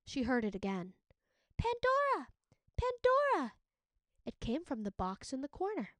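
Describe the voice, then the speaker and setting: A woman narrating a storybook as a teacher would, in an expressive read-aloud style. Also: on the high side, fairly quick, dry recording.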